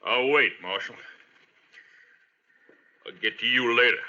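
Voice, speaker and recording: Slow, hard-edged western tough. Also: male voice, medium pitch, pushed and bright. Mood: sullen. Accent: American. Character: surly, taunting Old West tough, adult man. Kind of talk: 1950s American radio western acting. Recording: dull old radio recording, music.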